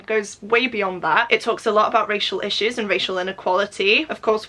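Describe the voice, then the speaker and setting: Bright-voiced young Welsh woman. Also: medium pitch. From Wales. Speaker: book reviewer, channel host. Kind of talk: informal book review to camera.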